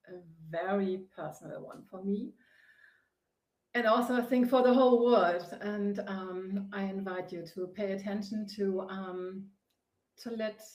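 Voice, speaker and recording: A woman with a German accent talks earnestly to her live-stream viewers, often hesitating as she searches for the right words. Her voice sits at an ordinary middle pitch. The room around her has a noticeable echo. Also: darkish tone. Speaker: host, face yoga founder. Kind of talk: inspirational live-stream talk. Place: sitting on a sofa. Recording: echoey room.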